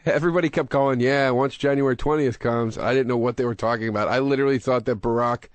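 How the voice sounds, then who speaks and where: A man in his early thirties co-hosting a comedy podcast, defensive and quick to laugh in casual banter. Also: mid-range voice, clean tone, no room sound. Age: 30s. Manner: defensive, animated, laughs a lot. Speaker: podcast co-host.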